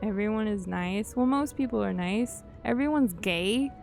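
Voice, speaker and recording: A woman's voice: medium pitch, normal speed, gravelly, darkish tone. A woman streaming a game, chatting casually and informally, musing aloud and often letting sentences trail off. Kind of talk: casual livestream chat while playing a game. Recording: game music behind the voice.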